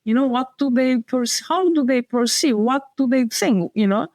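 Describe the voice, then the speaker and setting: A woman's voice: high-pitched, normal speed, darkish tone, no echo. A woman with a Turkish accent, a designer and publisher talking as an interview guest, hesitant and circling back to her point. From Turkey. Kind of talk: casual interview conversation.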